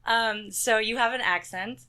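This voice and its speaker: A woman hosting a podcast, chatting in a curious way and reacting quickly to her guest. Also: on the high side, bright, forceful tone, smooth. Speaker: podcast host. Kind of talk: casual interview chat.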